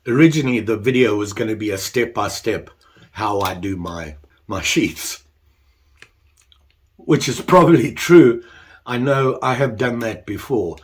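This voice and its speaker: A man's voice: fairly low pitch, expressive, unhurried, long pauses, slight background noise. An older man talking casually about his leatherwork, congested while getting over the flu, self-deprecating and rambling. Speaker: leatherwork vlogger. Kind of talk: casual workshop chat.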